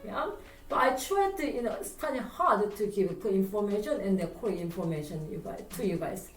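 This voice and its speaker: A middle-aged woman with a Korean accent, teaching pronunciation in English and repeating words slowly so the student can copy them. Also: mid-range voice, expressive, faint music behind. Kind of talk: lively pronunciation lesson. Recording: roomy sound from a distant mic.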